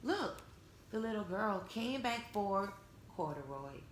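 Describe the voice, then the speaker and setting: A woman reading a storybook aloud to young children, expressively, pausing to retell and explain it in simple words. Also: mid-range voice, big pitch swings. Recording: echoey room with some background noise.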